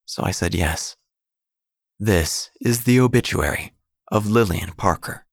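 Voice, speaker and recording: A man narrating a dark comic story in a dry, deadpan way. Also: fairly low pitch, unhurried, no room sound. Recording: music bed under the voice.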